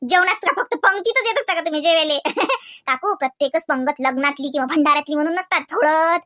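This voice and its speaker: A woman plays a sharp-tongued young woman. Her voice is very high and bright. She teases and preaches at an older relative. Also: fast. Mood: teasing. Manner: teasing, preachy, mocking.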